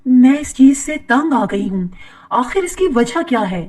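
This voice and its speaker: A fed-up wife, voiced by a woman, scolds her husband in an exasperated way. Her voice sits fairly high and sounds a little off-mic. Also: expressive, measured pace, darkish tone, recorded from a distance.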